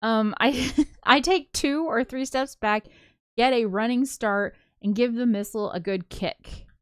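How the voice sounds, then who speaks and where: A woman's voice: fairly high pitch, measured pace, clean tone, no echo, clean studio sound. A woman reading a visual novel aloud, slipping into casual, chatty commentary with giggly asides. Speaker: co-host of a gaming show.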